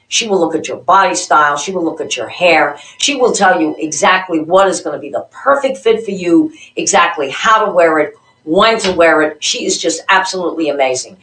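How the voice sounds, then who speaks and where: A woman's voice: lowish voice, fairly bright tone, reverberant, low-quality recording. A woman hosting a show, narrating a recap of her guests with gushing, enthusiastic praise. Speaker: show host.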